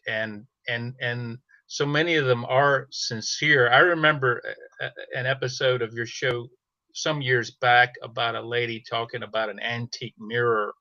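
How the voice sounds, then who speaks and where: Guy with a bright forceful voice chatting on a podcast, stops and restarts a lot, bit lo-fi. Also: mid-range voice, dry recording. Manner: halting, restarts phrases often. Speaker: audio storytelling podcaster, guest. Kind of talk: casual conversation. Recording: slightly dull, band-limited dry audio.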